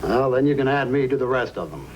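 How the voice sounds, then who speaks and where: An actor playing a folksy but crafty frontier storekeeper in an old-time radio drama. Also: male voice, medium pitch, animated, fast, a little hiss or hum, lightly acted, rough recording. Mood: evasive. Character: folksy fatherly frontier storekeeper who is secretly a crafty outlaw.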